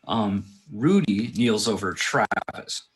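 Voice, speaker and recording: A man's voice: fairly low pitch, expressive, slow, rough recording. A man directing a table read, reading stage directions aloud and adding casual asides.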